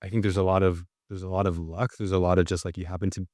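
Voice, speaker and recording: A man with a fairly low voice talks through his answers as a podcast guest, reflective and loose, circling back with fillers. The sound is dry and close, with no room around it. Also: flat delivery, very fast, a little mellow, dry recording. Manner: thoughtful, with many filler "like"s and restarts. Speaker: guest, writer and journalist. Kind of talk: conversational interview answers.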